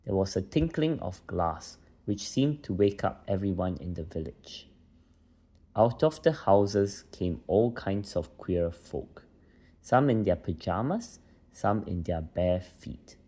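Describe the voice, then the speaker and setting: A man's voice: deep voice, animated, slow pace, dark tone. A man reading a children's bedtime story aloud as a narrator.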